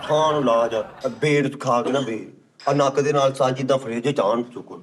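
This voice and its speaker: A man's voice: mid-range voice, moderate pace, a faint crowd, a little hiss or hum. A man who jokingly offers silly home remedies in casual chat.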